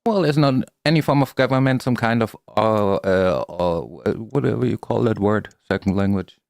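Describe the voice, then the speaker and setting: A man's voice: fairly low pitch, expressive, normal speed, vocal fry, a little mellow, dry recording, clean studio sound. A man from Europe chatting casually, blunt and teasing, sometimes hesitating over words. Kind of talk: casual chat.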